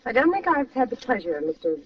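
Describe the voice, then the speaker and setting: A woman's voice: fairly high pitch, sing-song, fast talker, dry recording. Poised, sceptical society woman, muffled. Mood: puzzled, cool. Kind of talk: old-time radio drama acting. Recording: muffled, distant, faintly noisy old radio recording.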